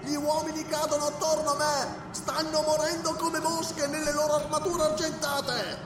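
A man's voice: extremely high pitch, moderate pace, pitched above the performer's own voice. A man voicing a wounded, panicked male priest crying out through a crystal orb, in a frantic wailing manner. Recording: echoey and distant, loud music behind.

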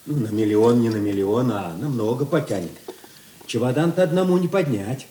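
An actor playing a good-natured, thrifty police operative who grumbles and banters in a radio play. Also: male voice, medium pitch, moderate pace, mellow, distant mic, natural voice. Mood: matter-of-fact. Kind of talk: Russian radio drama acting. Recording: echoey, noisy with clicks.

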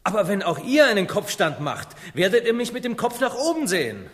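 An actor playing an eager, stubborn young explorer in a theatrical radio play, explaining with fervour. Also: male voice, sits high, sing-song, fairly quick, fairly bright tone. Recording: long hall reverb, music behind.

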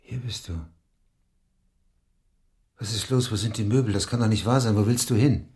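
An actor playing a middle-aged police inspector in a radio drama, terse, earnest and brooding. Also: male voice, fairly low pitch, normal speed, a little mellow, a little hiss or hum, natural voice. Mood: pleading. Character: brooding male police inspector, lovelorn, dutiful. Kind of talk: German radio drama acting with first-person narration.

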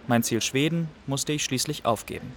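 An actor playing an Iraqi refugee who recounts his exile in a measured, matter-of-fact radio drama narration. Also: medium pitch, a little hiss or hum, close to an everyday voice.

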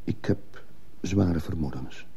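Radio drama: troubled male schoolteacher, very dark gravelly voice, brooding and earnest. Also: lowish voice, moderate pace, exaggerated voice. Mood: hesitant, guarded. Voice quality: husky, gravelly. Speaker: actor in a radio play. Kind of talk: Dutch-language radio drama acting.

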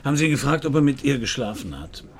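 Dry wry detective narrator, creaky voice, middling pitch, radio krimi w music. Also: male voice, big pitch swings, fast talker. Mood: probing. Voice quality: gravelly, creaky. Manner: dry, laconic, probing. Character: wry, probing male private detective, first-person narrator. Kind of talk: German radio crime drama, noir narration and dialogue.

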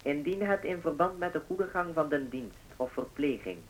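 An actor playing a 1940s radio announcer, reading a military bulletin in a measured, official manner. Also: male voice, fairly high pitch, expressive, slight background noise, natural voice, poor audio. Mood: solemn, official. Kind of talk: scripted wartime news bulletin.

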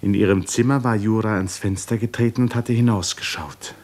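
A male narrator with a fairly low voice delivers literary prose for a 1950s radio drama. He is solemn and articulate, letting dramatic pauses shape the telling. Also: steady tone, a little mellow.

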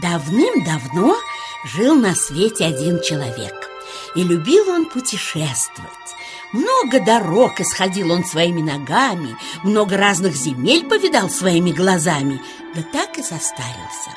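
A woman's voice: on the low side, very animated, a little slow, music in the background. A woman voicing a kindly auntie squirrel who tells tales with rapturous expression in a children's audio play. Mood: storytelling.

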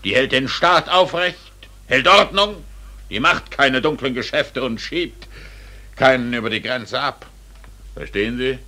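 An actor playing a paternal, condescending consul in a 1940s German radio play, speaking with emphatic, admonishing authority. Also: male voice, mid-range voice, a little slow, sharp tone, slight background noise.

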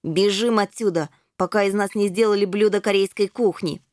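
A woman's voice: mid-range voice, fairly even intonation, moderate pace, darkish tone, dry recording, studio quality, close to an everyday voice. A woman voicing a grim, mocking young male striped kitten who mutters sardonically in a children's story.

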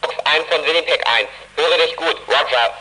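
A man's voice: fairly high pitch, brisk. A man playing a sly young biker in a children's radio play, heard through a noisy CB radio. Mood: feigning innocence. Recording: noisy, clicking CB radio transmission.

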